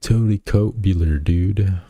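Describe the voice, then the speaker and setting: A laid-back young surfer guy, as a man puts him on, stretching his slang out in a lazy drawl. The voice sits a step above a very deep, dark register and has a husky edge. The sound is dry and close. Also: a little slow, no echo.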